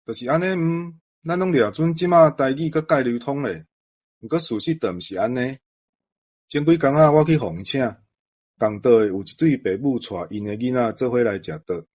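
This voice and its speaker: A man's voice: medium pitch, expressive, normal speed, darkish tone. A man with a Taiwanese accent talking conversationally as a co-host. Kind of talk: conversational discussion.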